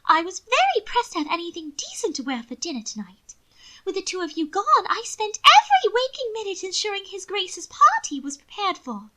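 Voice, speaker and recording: A woman's voice: very high voice, wildly swooping pitch, a little fast, bright, forceful tone, voice pushed up, exaggerated voice. A woman voicing a vain, affected young aristocratic lady in a period audiobook, with prim, theatrical diction. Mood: pleased, gushing. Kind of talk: audiobook character voice. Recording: occasional static crackle from microphone.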